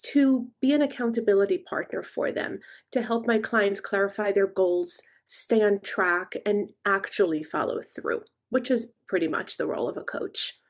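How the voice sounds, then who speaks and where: A middle-aged woman from Israel, a coach being interviewed on a podcast, who explains herself in a thoughtful, measured way. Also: mid-range voice.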